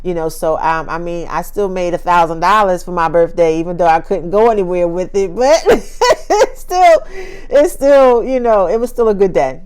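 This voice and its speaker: Upbeat woman in her 50s chatting to her followers, smooth clear voice, laughs a lot. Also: fairly low pitch, animated, normal speed, a little mellow. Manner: chatty and upbeat, laughs often, repeats phrases for emphasis. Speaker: speaker and author hosting her own channel. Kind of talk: casual talk to camera.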